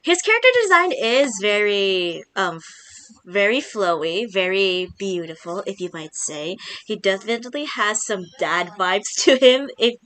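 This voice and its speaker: Woman host, Filipino accent, bright chatty delivery with frequent giggles, casual chat. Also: mid-range voice, animated, moderate pace.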